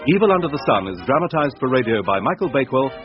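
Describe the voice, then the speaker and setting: A man's voice: mid-range voice, sing-song, fast, muffled recording. Male radio announcer over music. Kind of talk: formal scripted radio announcement. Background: faint music.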